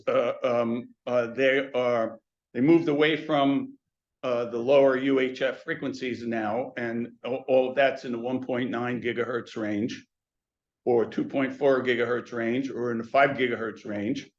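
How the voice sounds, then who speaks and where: A man's voice: mid-range voice, highs cut off. An older man presenting on theater intercoms in a webinar, talking in loose runs with many restarts and fillers. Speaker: intercom industry educator.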